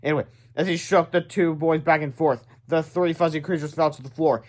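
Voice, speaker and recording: A man reading a children's book aloud as storyteller, animated, with casual asides and stumbles over words. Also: a little high, a little fast.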